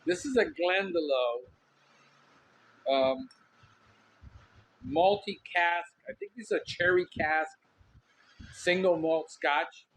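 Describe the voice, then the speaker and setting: A man's voice: high-pitched, lively intonation, slow, long pauses, fairly bright tone, slight background noise. A middle-aged man from Miami with a Cuban Miami accent, rambling candidly as a podcast host in a casual monologue. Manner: rambling, candid, lots of ums.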